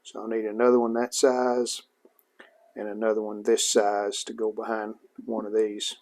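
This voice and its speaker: A man's voice: fairly low pitch, completely flat delivery, normal speed, darkish tone. A man casually narrating his own hands-on restoration work as a hobbyist host, in a plain-spoken, show-and-tell way.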